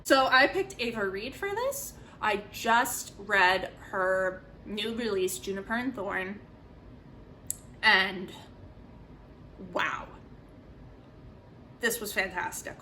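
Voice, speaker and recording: A woman's voice: medium pitch, animated, slow, pauses often, sharp tone, a little hiss or hum, rough recording. A young adult woman chatting casually as a book vlogger, with an emphatic, opinionated and informal way of talking. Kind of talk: casual chat to camera, book review.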